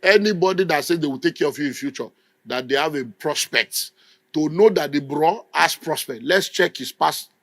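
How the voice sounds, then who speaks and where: A man's voice: fairly high pitch, a bit bright, dry recording. A middle-aged Nigerian man preaching a sermon with an emphatic, scolding delivery. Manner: emphatic, scolding, mimics people in quotes. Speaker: pastor.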